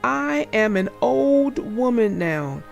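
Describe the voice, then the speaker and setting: A woman voicing an incredulous old woman in a Bible storybook, with doubting, drawn-out delivery. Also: fairly high pitch, very animated, gravelly, soft background music, voice pushed up, full character voice.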